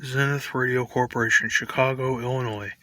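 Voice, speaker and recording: Man with flat, halting delivery. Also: mid-range voice. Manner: tentative, halting. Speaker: hobbyist vlogger. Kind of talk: casual show-and-tell commentary.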